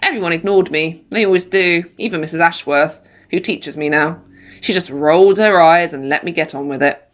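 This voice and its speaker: A woman reading a children's story in the first person, with animated, dramatic emphasis like a primary school teacher. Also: lowish voice, normal speed. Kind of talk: expressive children's audiobook narration in first person.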